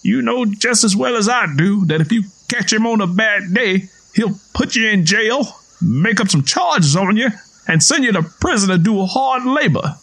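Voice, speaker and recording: Man voicing a high-pitched, taunting Southern man. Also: lively intonation, moderate pace, a bit bright, full character voice, poor audio. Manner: folksy, taunting, argumentative.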